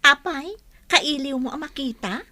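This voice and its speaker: A woman's voice: high-pitched, unhurried, exaggerated voice. A woman playing a teasing, nosy young student friend in an Ilocano radio comedy drama. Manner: animated, teasing. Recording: dry studio, music underneath.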